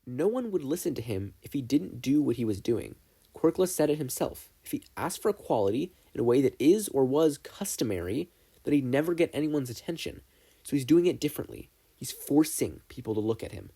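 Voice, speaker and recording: A man's voice: mid-range voice, big pitch swings, a little fast, dark tone, husky. A man voicing an earnest, nerdy teenage boy student who explains things eagerly and at length. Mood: passionate. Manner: eager, long-winded explaining.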